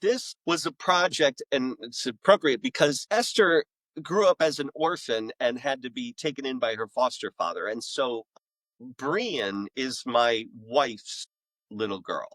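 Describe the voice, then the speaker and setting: A man's voice: mid-range voice, lively intonation, unhurried, fairly bright tone, no room sound, poor audio. A middle-aged man, a podcast guest and author, telling casual anecdotes in an enthusiastic, rambling way.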